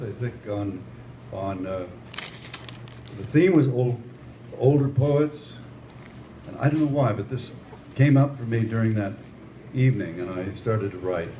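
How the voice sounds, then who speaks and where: Older male poet, slow and deliberate live reading, echoey room with faint noise. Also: fairly low pitch, mellow. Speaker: amateur poet. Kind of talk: poetry reading with informal introduction.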